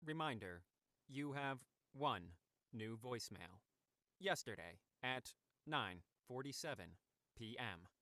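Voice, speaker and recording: A man playing an impersonal automated voicemail system with measured, formal announcements in an audio thriller. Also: medium pitch, lively intonation, bright, forceful tone, dry recording. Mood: neutral, procedural.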